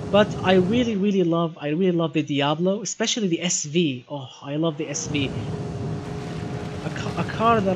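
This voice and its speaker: A man in his twenties with a Syrian Arabic accent, chatting enthusiastically to viewers as a live gaming streamer and often repeating his phrases. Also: on the high side. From Damascus, Syria. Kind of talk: casual live gaming stream chat and commentary. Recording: muffled lo-fi audio, clear background noise.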